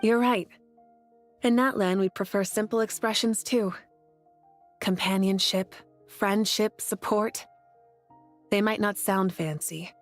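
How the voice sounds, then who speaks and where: A woman voicing a welcoming, composed and calmly wise female leader in a video game, with a reassuring manner. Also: mid-range voice, takes it slowly, pauses often, faint music behind, natural voice. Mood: warm, composed. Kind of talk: video game character acting.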